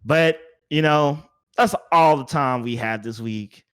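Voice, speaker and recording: A man's voice: mid-range voice, takes it slowly, fairly bright tone, clean tone. A man hosting a casual podcast chat in a playful, teasing, slangy way. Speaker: podcast host.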